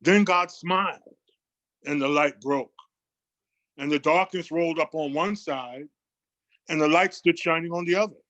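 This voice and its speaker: A man playing a grand reverend, reciting a poem like a sermon with deliberate, emphatic storytelling. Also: a little high, a bit bright, no room sound, lo-fi sound. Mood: grand, reverent. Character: grand male reverend comforting his congregation with poetry. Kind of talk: dramatic sermon-like poetry recitation.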